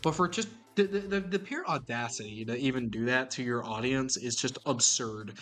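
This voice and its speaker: Joking, animated podcast co-host man. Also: mid-range voice, normal speed. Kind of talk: casual podcast chat.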